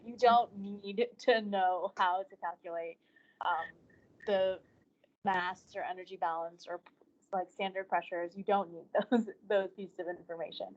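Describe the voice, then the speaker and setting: A young woman engineering student talking casually on a panel for high school students, chatty and quick to laugh. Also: highs cut off. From Southeast Florida, US.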